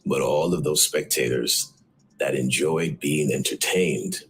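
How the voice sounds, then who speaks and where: A man in an interview clip, telling an animated story with plenty of "like" and asides. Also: very deep voice, unhurried, slight background noise, rough recording. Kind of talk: casual interview talk.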